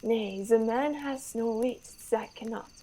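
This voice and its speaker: Young woman as vain French prince for radio Shakespeare. Highish, soft-edged voice, declamatory, besotted with his horse. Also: unhurried, rough recording. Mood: lofty praise. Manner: grandiose, declamatory. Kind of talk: radio theater Shakespeare acting.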